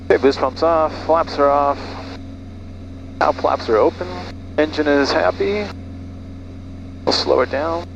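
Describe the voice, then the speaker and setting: A man's voice: fairly high pitch, measured pace, gravelly, pauses often, highs cut off. Middle aged guy flying a small plane, casual headset talk, bit of engine noise behind him. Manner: trails off mid-sentence. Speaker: private pilot. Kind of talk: casual cockpit talk and radio calls. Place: small propeller plane cockpit in flight. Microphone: aviation headset boom mic.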